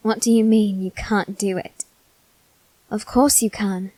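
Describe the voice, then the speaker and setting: A woman plays a melancholy young noble boy in an intimate ASMR roleplay. The delivery is very slow and soft, with long dramatic pauses and little rise or fall in pitch. The voice is resigned and solemn, recorded dry and close. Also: fairly even intonation, dry recording, close to an everyday voice. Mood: pleading, regretful. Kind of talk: scripted ASMR roleplay monologue.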